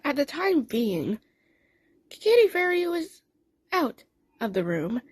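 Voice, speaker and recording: High-pitched, animated woman telling a story. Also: slow pace, no room sound. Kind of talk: scripted fan story narration.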